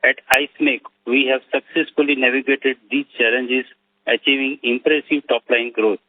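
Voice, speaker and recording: Conference-call voice: male business executive, Indian accent, formal scripted delivery, medium pitch, tinny phone audio. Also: steady tone, normal speed, no echo. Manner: formal, reading from prepared remarks. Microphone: telephone conference call. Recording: narrowband, dry phone line.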